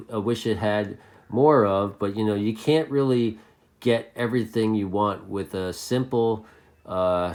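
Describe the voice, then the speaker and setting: A man's voice: on the low side, darkish tone. A man giving a casual hobbyist product review to camera, rambling along and often repeating his phrases.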